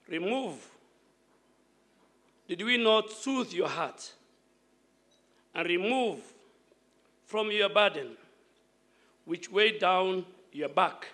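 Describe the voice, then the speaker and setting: A man's voice: high-pitched, bright, forceful tone. A man giving a solemn religious recitation in an emphatic, declamatory manner. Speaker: reciter of scripture at a prayer event.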